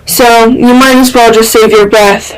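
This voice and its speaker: Woman as a dry, slow-speaking male investigator. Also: a little high, steady tone, clean tone, lightly acted, low-quality recording. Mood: serious, unimpressed. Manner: dry, serious, measured. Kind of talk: fan fiction character acting.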